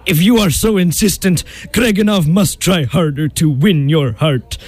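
An actor playing a vain, boastful suitor with a mock Russian accent and broken English in a comic radio play. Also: male voice, a little high, normal speed, a little mellow, dry recording, close to an everyday voice. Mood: pompous, insistent.

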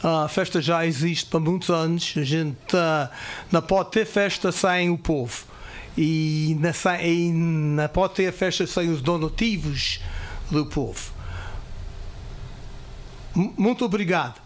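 An American man with a somewhat high voice, a native English speaker of Portuguese descent, gives a radio studio interview in unsteady Portuguese. He halts, searches for words and slips into English now and then. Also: measured pace. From California, third-generation Portuguese-American. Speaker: festival committee guest.

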